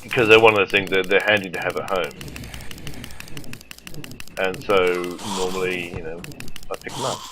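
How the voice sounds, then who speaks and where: A man's voice: sits low, fairly even intonation, normal speed, long pauses, background noise. A man with a sore throat from a cold, casually commenting on his gameplay as a let's play host and thinking aloud with lots of ums.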